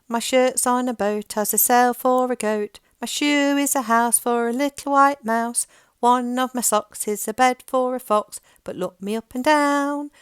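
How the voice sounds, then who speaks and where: A woman's voice: a little high, a bit bright, smooth, no echo, studio quality, voice pushed up. A woman voicing a cheerful, generous male giant in a storybook, with a bouncy, sing-song manner.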